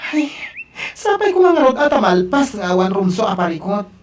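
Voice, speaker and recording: A woman's voice: medium pitch, big pitch swings, moderate pace, lightly acted. A woman with a Filipino accent playing a nagging, skeptical older sister in an audio drama. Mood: worried. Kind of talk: Ilocano radio drama acting.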